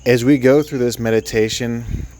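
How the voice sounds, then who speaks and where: A man leading a guided sleep meditation, speaking gently and soothingly in an unscripted, halting way with long pauses. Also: medium pitch, steady tone, poor audio.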